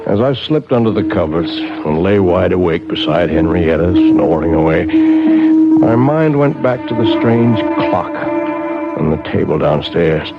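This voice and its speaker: An actor playing a meek, henpecked older clockmaker who narrates in a mild, reflective way, in a 1970s radio drama. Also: male voice, deep voice, big pitch swings, a little slow, exaggerated voice. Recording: dull old radio broadcast with music.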